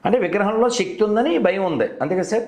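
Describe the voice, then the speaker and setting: A man's voice: high-pitched, lively intonation, quick pace, darkish tone. A man debating conversationally on a call, persistently probing with leading questions as the host. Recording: roomy, echoey phone call recording.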